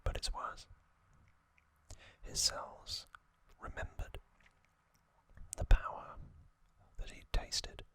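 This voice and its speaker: Asmr guy whispering a story recap, super slow, breathy, little pauses between phrases. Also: bright, forceful tone. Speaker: ASMR host.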